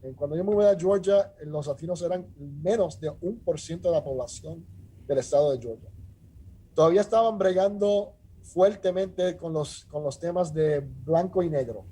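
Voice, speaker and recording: Earnest man, dark voice. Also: fairly high pitch, slightly rough. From Puerto Rico. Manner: earnest, measured, with frequent restarts and "ah" fillers. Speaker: judge, interview guest. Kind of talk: casual interview talk.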